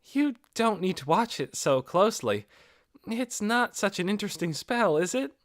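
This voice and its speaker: A man's voice: sits high, sing-song, normal speed, pitched above the performer's own voice. A man voicing a modest, politely reserved young mage with a light, self-effacing manner in an audiobook.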